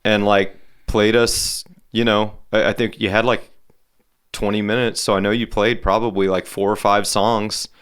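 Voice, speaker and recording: A man in his forties hosting an interview in a loose, halting way, with casual swearing. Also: lowish voice, little pitch movement, a bit bright, clean tone. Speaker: podcast host.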